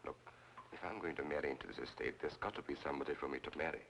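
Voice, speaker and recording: An actor playing a scheming, coolly impatient fortune hunter in an old film noir. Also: male voice, a bass voice, rapid-fire, gravelly, a bit bright, heavily acted. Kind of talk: 1940s film noir acting. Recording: slightly noisy old film soundtrack.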